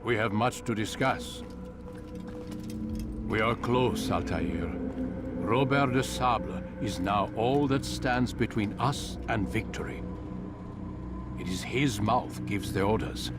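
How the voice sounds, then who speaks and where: An old master instructing his pupil in a video game, grave and persuasive. His low voice moves very slowly and formally, with loud music underneath. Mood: grave resolve. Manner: measured, formal, oratorical. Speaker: game voice actor. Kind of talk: solemn game cutscene dialogue.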